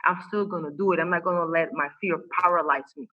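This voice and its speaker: A woman's voice: lowish voice, steady tone, fast, highs cut off. An earnest woman whose first language is Spanish, a life coach talking about her faith in an interview, with many fillers and restarts. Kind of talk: faith-based interview conversation. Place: home.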